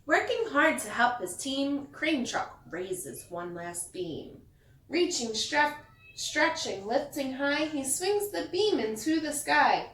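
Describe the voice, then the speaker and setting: A woman reading a bedtime story aloud to children like a teacher, in an expressive sing-song way that stresses the rhymes. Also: high voice, takes it slowly. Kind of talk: bedtime story read-aloud with asides to young listeners. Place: echoey indoor room. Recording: echoey room, distant mic.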